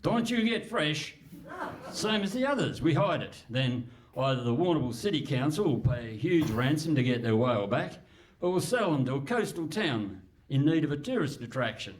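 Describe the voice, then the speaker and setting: Man performing a scatterbrained crook, raised pitch, animated swoops, cocky, dismissive, comic radio theatre. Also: moderate pace, distant mic. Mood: breezy, dismissive. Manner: breezy, dismissive, literal. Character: cocky, scatterbrained self-styled criminal mastermind. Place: hall stage before live audience.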